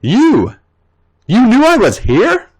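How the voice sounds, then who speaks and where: A man voicing a feisty, sassy young female wrestler in a story reading, pushing his voice much higher and louder. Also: huge pitch swings, slow pace, exaggerated voice. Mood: indignant. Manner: sassy, indignant.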